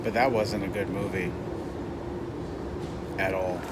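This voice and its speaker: A man's voice: low-pitched, steady tone, slow pace, heavy background noise. A man giving a blunt, unimpressed movie review in a casual way, talking off the cuff while out walking.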